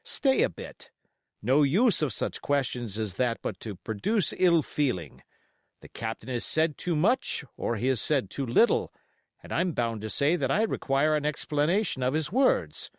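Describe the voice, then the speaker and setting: A man voicing a calm, authoritative gentleman doctor in an audiobook, courteous and probing in manner. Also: mid-range voice, measured pace, no room sound, studio quality. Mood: firm, mediating. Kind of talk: audiobook character acting.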